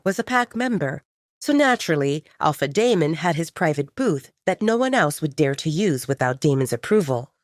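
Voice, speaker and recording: A woman reading a scripted story as an audiobook narrator, with an expressive, dramatic storytelling delivery. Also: sits low, dry recording, clean studio sound.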